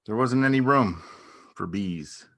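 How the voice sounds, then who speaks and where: Low-pitched male actor as a shy beekeeper; halting, understated, earnest stage dialogue. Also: lively intonation, a bit bright. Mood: matter-of-fact storytelling. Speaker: amateur or local theatre actor. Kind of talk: naturalistic dramatic reading of a stage dialogue.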